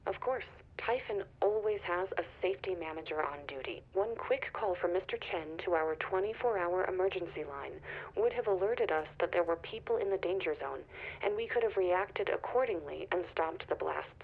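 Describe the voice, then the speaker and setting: A woman's voice: lowish voice, moderate pace. An actress playing a nervous, guarded company spokeswoman in a video game, speaking carefully and politely over a phone line. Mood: polished, defensive. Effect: phone call filter. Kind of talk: naturalistic video game acting. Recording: tinny, narrow phone line.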